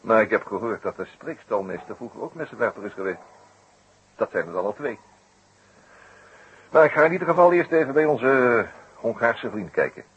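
A man acting the part of a courteous, probing French chief inspector in a Dutch radio drama, speaking in a measured, urbane way. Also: mid-range voice, lively intonation, fairly bright tone, husky. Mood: pensive, firm. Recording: dull, noisy 1960s radio recording.

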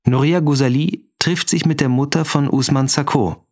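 An actor as a neutral announcer of dates and places in a radio drama, speaking tersely. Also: male voice, a little high, normal speed, noisy background, close to an everyday voice. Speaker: announcer of scene headings.

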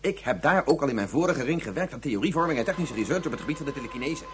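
Man acting a flustered, fast-talking scientist father. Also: mid-range voice, expressive, noisy background, natural voice. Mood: guilty, explaining. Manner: stammering, compulsively repeating the same filler phrase. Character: flustered, guilt-ridden scientist father who over-explains. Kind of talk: Dutch radio drama acting.

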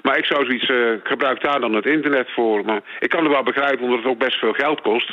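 A man's voice: medium pitch, quick pace. A man calling a radio phone-in over the telephone to give his opinion casually. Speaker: phone-in caller. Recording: dull phone call on radio.

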